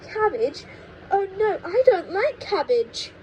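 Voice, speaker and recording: A woman's voice: sing-song, slow pace, a little mellow, highs cut off, exaggerated voice. A woman voicing a doubtful eight-year-old boy in a storybook, pleading and protesting. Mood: dismayed. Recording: noisy low-quality home microphone recording.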